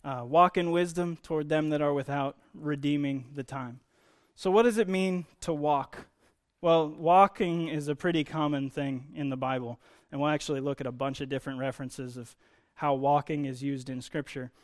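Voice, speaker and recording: A man's voice: a little high, fairly even intonation, normal speed. A man giving a conversational sermon, explaining Bible teaching in an informal way with emphasis on key words.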